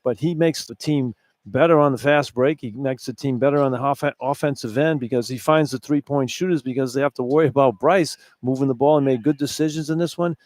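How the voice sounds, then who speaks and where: A man's voice: medium pitch, fairly quick, a little mellow. A man works as a color analyst on a sports radio broadcast. He talks conversationally in a mid-range voice, circling back over points to stress them, with a dry, echo-free sound.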